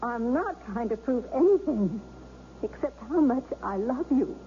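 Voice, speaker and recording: Patient loving wife, gentle pleading manner, thin phone-like old radio sound, faint hiss. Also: female voice, a little high, lively intonation, a little slow. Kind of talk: 1970s radio drama acting.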